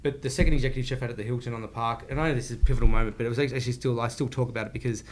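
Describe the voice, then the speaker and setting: A man's voice: medium pitch, steady tone, a little hiss or hum. An Australian man in his forties, a chef telling his career story as a podcast guest, rambling with frequent restarts. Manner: rambling, restarts often, speaks in quick bursts. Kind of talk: casual storytelling interview.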